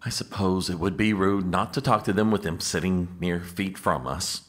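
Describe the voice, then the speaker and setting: Man acting a very deep, exasperated political aide. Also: moderate pace, close to an everyday voice. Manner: dry, weary, sardonic. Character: exasperated, long-suffering male political aide. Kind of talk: comedic audio drama acting.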